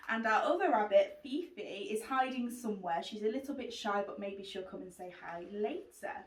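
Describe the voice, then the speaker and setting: A woman's voice: mid-range voice, lively intonation, moderate pace. A woman hosting a children's book club, chatting to young viewers and pets in a presenter's style. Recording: roomy echo, distant mic.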